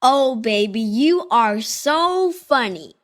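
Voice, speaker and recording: A woman voicing a friendly, excitable little boy in a children's storybook, with an expressive, childlike delivery. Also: a little high, takes it slowly. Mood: amused.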